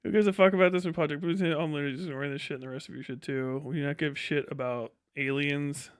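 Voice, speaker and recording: Male American streamer, higher register, dry sound; sweary, opinionated, off-the-cuff commentary. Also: fairly even intonation, fast, clear, resonant tone, no echo. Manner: blunt, opinionated, sweary ranting. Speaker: livestream host. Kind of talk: casual, profane livestream commentary.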